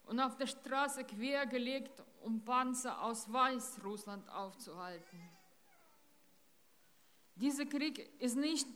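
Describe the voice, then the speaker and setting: A middle-aged woman, from Ukraine, giving a grave, deliberate public speech at a rally in a town centre. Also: fairly high pitch, a bit bright, big-room sound. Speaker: rally speaker.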